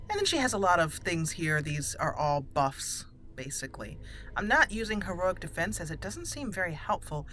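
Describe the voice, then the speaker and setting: Female gaming host, bright and slightly low-pitched, chatty, playful casual commentary. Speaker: let's play host. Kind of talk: casual gameplay commentary with some roleplay.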